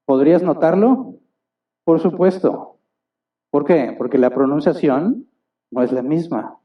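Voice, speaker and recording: Male pastor, higher voice, preaching a sermon with rhetorical questions; mic set back, dull lo-fi recording. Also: measured pace. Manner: asks the congregation rhetorical questions; acts out little dialogues. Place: church hall. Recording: distant, dull church recording.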